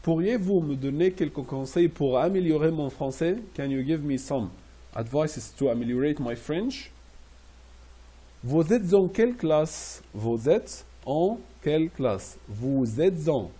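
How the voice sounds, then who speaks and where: A man teaching French classroom phrases, repeating each slowly and translating it into English. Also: a little high, animated, warm tone, slightly rough. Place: Riyadh.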